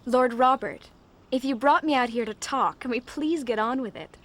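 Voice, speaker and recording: A woman's voice: high-pitched, moderate pace, fairly bright tone. An actress playing a frank, headstrong American heiress in her twenties in a radio drama. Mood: wry. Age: 20s. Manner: blunt, unladylike.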